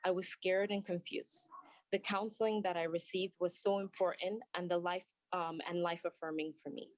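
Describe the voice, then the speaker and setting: A young woman, a doctor, giving earnest prepared testimony at a public hearing, with halting restarts, speaking remotely from her workplace. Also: lowish voice, steady tone, no room sound. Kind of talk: prepared testimony, read aloud.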